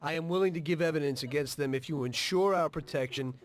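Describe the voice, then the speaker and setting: A man's voice: fairly high pitch, lightly acted. An actor with an American accent playing a weary, matter-of-fact ex-mobster who tells his story in a video game. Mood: frank, guarded. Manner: measured, plain-spoken, understated. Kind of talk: dramatic video game dialogue and narration.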